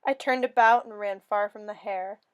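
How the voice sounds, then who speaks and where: A woman giving a theatrical, expressive dramatic reading of a first-person fairy story, as a storyteller. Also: medium pitch, moderate pace.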